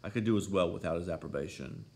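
A man's voice: deep voice, quick pace, vocal fry, darkish tone. A man voicing a brooding, vengeful villain in a story, growling, bitter and defiant.

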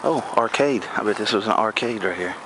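Low-voiced man musing on a walk-through. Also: fairly quick. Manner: musing, speculative, low-key. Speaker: urban explorer filming. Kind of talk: casual walk-through commentary. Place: outdoors in an abandoned amusement park. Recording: loud outdoor noise on camera mic.

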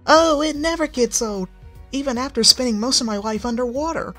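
A man voicing a bubbly, excitable young female hippogriff who gushes gleefully. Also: very high voice, a little fast, soft background music, pitched above the performer's own voice. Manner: gleeful, cheeky, gushing.

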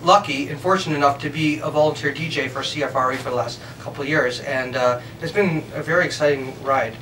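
A man's voice: fairly high pitch, fast, bright, forceful tone. A man in his thirties interviewing guests as a show host, chatty and playfully enthusiastic. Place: room with couches, amps and instruments. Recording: slightly distant mic, faint room noise.

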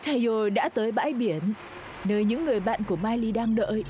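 A woman's voice: on the high side, a little fast, close to an everyday voice. A woman playing the storytelling narrator of a children's cartoon, reading scripted narration. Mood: narrating.

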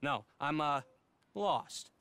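An actor playing an eccentric, chatty young gentleman in a video game, rambling and hesitant with jaunty, quaint slang. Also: fairly high pitch, animated, slow, clear, resonant tone, no room sound, exaggerated voice. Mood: nervous, earnest. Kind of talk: video game cutscene acting.

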